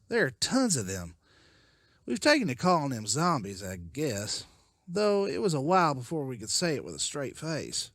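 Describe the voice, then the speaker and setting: Man as an earnest, animated survivor leader. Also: a little high, dry recording, studio quality, exaggerated voice. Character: earnest, weary male leader of survivors, a father. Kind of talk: dramatized comic dialogue.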